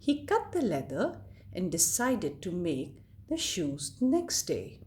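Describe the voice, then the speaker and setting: A woman storyteller reading a scripted fairy tale to children in a deliberate, expressive way. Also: fairly low pitch, slight background noise. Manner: deliberate, expressive read-aloud delivery addressed to children.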